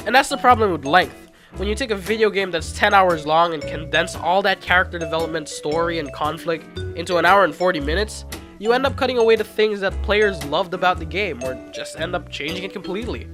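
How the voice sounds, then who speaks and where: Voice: mid-range voice, fairly quick. A video essayist narrating in a casual, informal and self-deprecating way, as if reading a loose script. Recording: music playing behind the voice. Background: background music.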